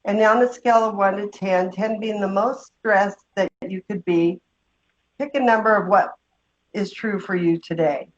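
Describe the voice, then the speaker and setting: A woman leading a guided meditation, giving slow, gentle instructions with long pauses. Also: mid-range voice, fairly even intonation. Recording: dull, muffled lo-fi sound.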